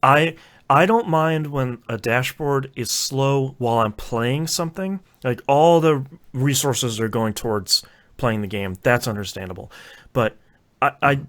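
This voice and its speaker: A man chatting casually as a podcast host, informal and halting, often repeating words as he goes. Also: mid-range voice, a bit bright. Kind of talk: casual chat.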